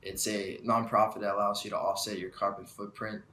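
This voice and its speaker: Young American male student, low-pitched with little variation, video statement in an echoey, slightly noisy space. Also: flat delivery, fairly quick, sharp tone. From United States. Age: young adult. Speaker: student speaker. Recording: roomy echo, faint background noise.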